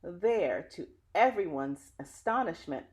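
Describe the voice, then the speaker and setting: A woman with a lowish voice reads a picture book to children. Her intonation swings widely and her phrasing is dramatic. She addresses young listeners directly, in the way of a teacher at story time. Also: lively intonation, takes it slowly, darkish tone. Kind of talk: children's read-aloud storytelling with asides to listeners.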